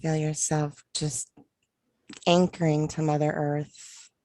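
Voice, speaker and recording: Woman host, low voice, slow calm guiding, dry close sound, video call. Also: dry recording. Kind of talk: guided meditation and hosting.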